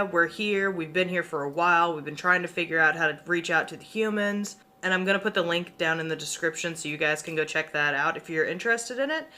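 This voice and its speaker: A woman's voice: lowish voice, sharp tone. A young woman hosting a YouTube video, chatting casually to viewers in an informal, emphatic way with the odd swear word. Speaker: YouTube host. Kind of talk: casual chatty commentary to viewers on internet mysteries.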